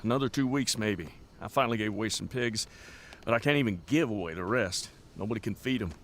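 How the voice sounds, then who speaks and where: A man's voice: lowish voice, fast talker, a bit bright, slight background noise, natural voice. An actor playing a weary, plainspoken Montana rancher in an audio drama, folksy and stoic as he talks. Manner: folksy, stoic, matter-of-fact storyteller. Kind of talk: naturalistic audio drama dialogue.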